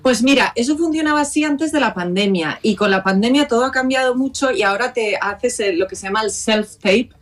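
A woman's voice: on the high side, brisk, few pauses. Actress guest, female, chatty anecdote teller. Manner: lively anecdotal, acts out quoted dialogue. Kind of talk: storytelling in interview.

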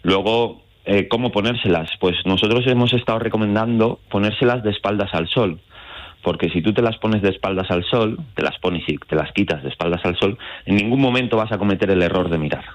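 Man, central Spanish accent, lowish pitch, muffled phone audio, radio guest, explanatory, informal. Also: fairly quick. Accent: central Spain. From Madrid, Spain. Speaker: astrotourism guide, phone guest. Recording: dull lo-fi phone call.

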